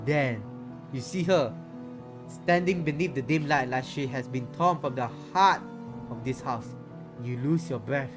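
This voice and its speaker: A man's voice: medium pitch, unhurried, over music. A man reading a visual novel aloud in a theatrical, playful way, with casual reactions in between. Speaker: streamer.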